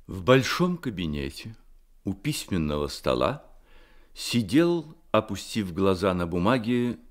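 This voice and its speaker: Expressive, low-voiced male storyteller. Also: unhurried. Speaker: narrator. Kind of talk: literary narration in Soviet radio drama.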